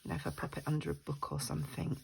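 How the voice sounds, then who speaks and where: Croaky older woman crafting. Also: rumbling low, big pitch swings, rapid-fire, slight background noise. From United Kingdom. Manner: chatty, thinking aloud while working. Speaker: junk journal crafter. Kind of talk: chatty crafting tutorial. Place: craft desk at home.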